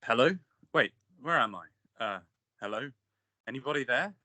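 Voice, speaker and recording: A man's voice: medium pitch, expressive, normal speed, sharp tone, no room sound. Male actor as bewildered, defiant new arrival, questioning everything, amateur read, very dry recording. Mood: confused. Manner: incredulous, questioning. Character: confused, defiant newcomer, a scrunched paper ball. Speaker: actor in a script table read. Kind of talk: amateur table read over a group call.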